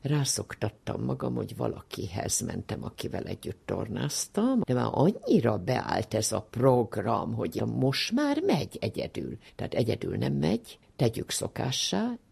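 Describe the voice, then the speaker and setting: An older speaker giving a reflective, explanatory talk in an emphatic, didactic way, with a gravelly, husky voice. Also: mid-range voice, sing-song, hardly any pauses, darkish tone.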